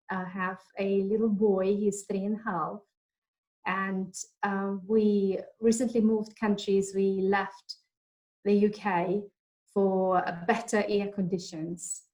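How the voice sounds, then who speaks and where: A woman's voice: medium pitch, level tone, muffled recording. Hesitant woman from Kazakhstan. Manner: halting, with many fillers and restarts. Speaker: interviewee, mother and online entrepreneur. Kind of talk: casual video chat interview.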